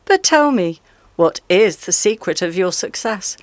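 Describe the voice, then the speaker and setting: An actor playing a cunning female fossa in a radio play, talking in drawn-out, honeyed flattery. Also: mid-range voice, dry recording. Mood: sly, coaxing.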